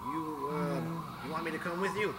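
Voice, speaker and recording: A man's voice: fairly high pitch, moderate pace, a crowd in the background, lightly acted. A man playing a wary, sleepy cemetery caretaker in a radio mystery, hesitant and deferential. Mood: wary, subdued. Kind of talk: old-time radio mystery acting. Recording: echoey hall, quiet voice, clear noise.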